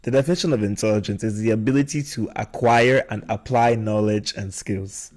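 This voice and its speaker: A man's voice: fairly low pitch, fairly quick, darkish tone. A young adult man hosting a podcast in a casual conversational style. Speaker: podcast host.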